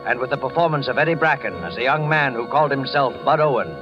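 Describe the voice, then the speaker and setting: A male host gives a formal, suspenseful introduction in 1940s radio manner. His pitch is medium, with music behind him and dull recorded sound. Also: quick pace.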